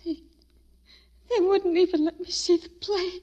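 A woman playing a timid, gentle, frightened young servant girl in a radio drama, speaking hesitantly and pleadingly. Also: extremely high pitch, fairly even intonation, a little slow. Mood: tearful, unhappy. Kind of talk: 1940s radio drama acting. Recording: dull old radio recording.

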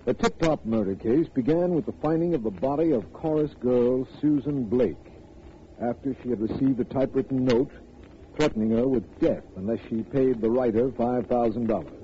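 An actor in a 1950s radio drama playing a matter-of-fact district attorney who is brisk, formal and a little impatient. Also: male voice, mid-range voice, natural voice. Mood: formal, narrating. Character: businesslike, impatient district attorney, the detective's sidekick. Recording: dull, faintly noisy old radio recording.

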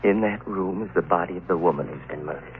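A man's voice: low-pitched, quick pace, close to an everyday voice. An actor playing a frightened, paranoid young man in an old radio drama, talking urgently. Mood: hushed. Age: young adult. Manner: urgent, rising to near-shouts when frightened. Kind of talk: 1930s radio drama acting. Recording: muffled old radio broadcast.

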